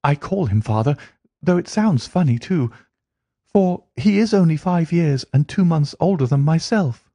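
Man doing a flustered young woman, high, breathless, rushing, earnest, dry close sound. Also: animated, mellow, no room sound. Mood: indignant.

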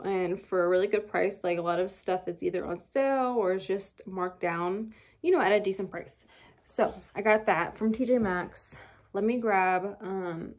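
A woman's voice: medium pitch, darkish tone. A young woman in her twenties filming a casual shopping haul in her cluttered room at home, chatting loosely with lots of fillers. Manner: chatty and rambling, many fillers. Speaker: vlogger. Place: bedroom beauty room at home, indoors. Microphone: camera on desk.

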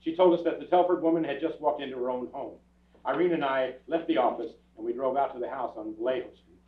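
Older male actor as police detective, terse, procedural, quick delivery, radio crime drama, distant phone-like stage recording. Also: medium pitch, natural voice. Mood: matter-of-fact. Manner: terse, matter-of-fact, procedural. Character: businesslike, clipped male police detective narrating his case. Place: theatre stage before an audience. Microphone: far camera. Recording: echoey theatre from far off, tinny.

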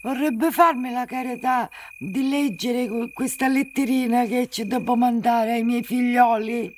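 A woman's voice: fairly high pitch, steady tone, measured pace, a little hiss or hum, close to an everyday voice. An older woman playing a poor, stubborn old Sicilian mother in a radio drama, pleading plaintively in a Sicilian accent. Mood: timid, beseeching. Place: theatre stage set up for radio recording.

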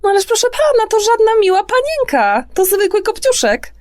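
A woman's voice: extremely high pitch, big pitch swings, moderate pace, a little mellow, no echo, clean studio sound, voice pushed up. A woman voicing a spiteful, scheming fairy-tale stepmother, hissing her indignant words in a storybook reading. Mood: scornful protest.

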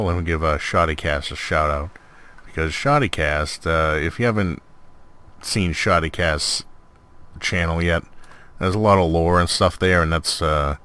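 Very low male voice, laid-back, rambling, casual gameplay commentary, video host. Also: bright, forceful tone. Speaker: video host, mod reviewer.

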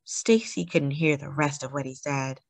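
A woman reading a children's picture book aloud as a storyteller, with deliberate, dramatic emphasis on key words. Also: low voice, steady tone, creaky, no echo. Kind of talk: expressive read-aloud narration for children.

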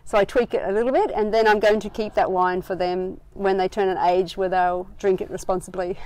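She talks in an easy, unhurried way, with an Australian accent and plenty of ums, and laughs come easily. She is a woman in her forties, recorded out of doors on a garden verandah. Her voice sits at a middling pitch for a woman. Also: fairly even intonation, darkish tone, slight background noise, low-quality recording. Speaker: winery owner and winemaker. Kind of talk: casual interview chat.

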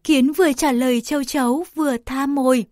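A woman narrating a scripted children's story in a careful, clearly phrased read-aloud manner. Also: high-pitched, fairly even intonation, fairly quick, darkish tone, no room sound, clean studio sound.

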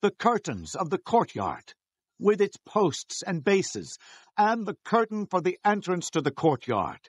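A solemn bible prophet leader, really slow and deliberate, commanding, very dry close recording. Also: male voice, high voice, wildly swooping pitch, husky, no echo, exaggerated voice. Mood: solemn, instructing. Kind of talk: dramatized audio Bible acting.

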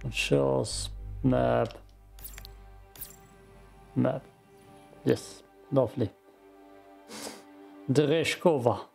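A man's voice: medium pitch, steady tone, extremely slow, vocal fry, pauses often, a little mellow, soft background music. A man with an Austrian accent, a native German speaker, giving casual muttering commentary as he plays a game. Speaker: gaming streamer. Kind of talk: casual let's play commentary.

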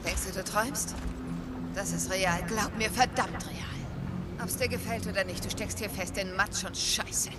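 A woman's voice: mid-range voice, unhurried, loud music behind the voice, lightly acted. An actress voicing a hardened, bitter female war commander who speaks bluntly and curtly in a game dub cutscene. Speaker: military commander. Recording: noisy ambience.

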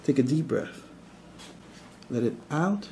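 A man's voice: medium pitch, animated, slow pace, vocal fry, very noisy. A man working as a chiropractor, giving casual, reassuring hands-on instructions in short repeated prompts. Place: small indoor chiropractic treatment room.

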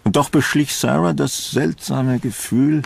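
A man with a gravelly, husky voice narrating a scripted horror story slowly. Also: mid-range voice, fairly even intonation.

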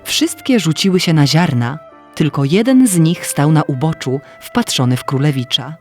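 A woman's voice: low voice, animated, normal speed, darkish tone, soft background music. A woman reading a scripted fairy tale as narrator.

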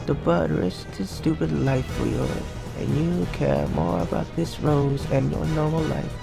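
A woman's voice: deep voice, fairly even intonation, measured pace, vocal fry, over music, full character voice, rough recording. A woman voicing a cocky, sarcastic male cartoon hedgehog with a sneering, dismissive drawl for a comic dub. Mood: scolding.

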